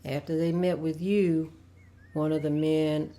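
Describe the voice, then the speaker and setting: A woman's voice: deep voice, flat delivery, measured pace, warm tone. A woman playing a humble, downcast young servant, subdued and deferential, in a scripted table read. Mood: ashamed, subdued.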